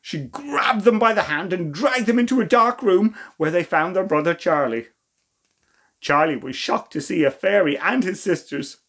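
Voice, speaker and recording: A man reads a children's story aloud as a storyteller. His voice sits high and moves very widely in pitch, with a theatrical, expressive delivery. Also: normal speed. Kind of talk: animated storybook reading.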